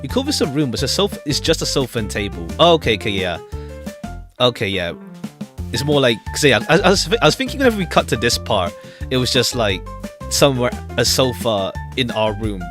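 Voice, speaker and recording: A man streaming a game, chatting casually and reading dialogue aloud in a rambling, chatty manner. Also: medium pitch. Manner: rambling, chatty, repeats himself. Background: background music.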